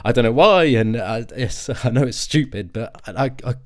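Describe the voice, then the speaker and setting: A male actor playing an anxious, overwhelmed young British father, confiding haltingly and apologetically in a naturalistic audio drama. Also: mid-range voice, lively intonation, close to an everyday voice. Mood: fragile, halting.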